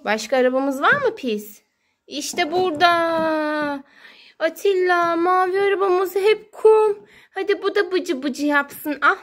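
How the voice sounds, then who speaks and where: A woman's voice: extremely high pitch, animated, slow, fairly bright tone. A woman talking to her young child as a mother at play, narrating in playful, sing-song baby talk with repeated words. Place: indoors at home beside water play tubs. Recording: noisy home phone recording with clicks.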